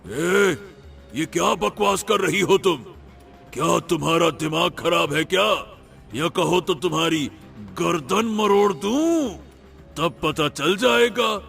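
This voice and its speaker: A man playing a boastful, menacing but gullible demon in a cartoon story, with a raspy voice and blustering delivery. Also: fairly high pitch, animated, a bit bright, full character voice. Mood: angry, boastful. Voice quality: raspy, gravelly. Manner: slow, drawn-out, blustering threats with gloating laughter. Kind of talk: exaggerated Hindi cartoon story acting. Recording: music behind the voice.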